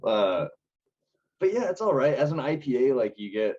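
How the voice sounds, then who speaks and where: Husky-voiced young man, laid-back chat. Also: mid-range voice, normal speed, lo-fi sound. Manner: relaxed, rambling, laughs often. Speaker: band vocalist. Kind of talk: casual podcast chat.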